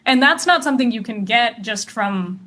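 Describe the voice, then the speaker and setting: Woman researcher, bright sharp voice, says like a lot, faint hiss behind. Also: on the high side, lively intonation, moderate pace. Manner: earnest, many likes and restarts. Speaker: AI safety researcher guest. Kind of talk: interview answers.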